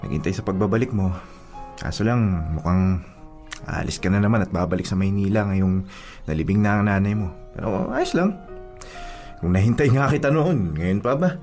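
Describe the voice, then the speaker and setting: A man acts as a devoted young suitor in a romantic radio-style drama. His low voice teases and sweet-talks, with music playing underneath. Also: darkish tone, lightly acted. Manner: teasing, chuckling, sweet-talking. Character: teasing, devoted provincial suitor and childhood friend.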